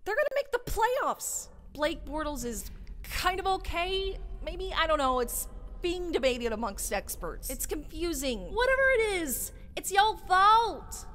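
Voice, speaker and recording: Woman playing a high-pitched, exasperated cosmic judge. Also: unhurried, a little hiss or hum, heavily acted. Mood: indignant, scolding. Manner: animated, colloquial scolding. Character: exasperated, quirky all-powerful female cosmic judge. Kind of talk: comedic fan dub acting.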